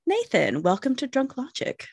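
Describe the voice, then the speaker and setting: Lively, teasing American woman host. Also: high-pitched, moderate pace, a crowd in the background. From United States. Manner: teasing, foul-mouthed, lively. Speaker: podcast host. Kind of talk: casual podcast chat.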